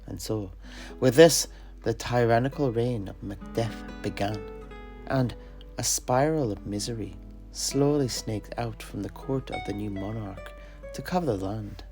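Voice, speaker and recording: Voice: mid-range voice, a little slow, mellow, faint music behind. A storyteller narrating fictional history in character, in a grave, literary and dramatic manner. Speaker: storyteller of a fantasy lore show.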